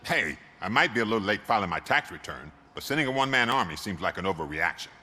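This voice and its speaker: An actor playing a wry, evasive garage mechanic in a video game, glib and joking, with a long echo on the voice. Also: male voice, fairly low pitch, very animated, fast talker, bright, forceful tone, full character voice.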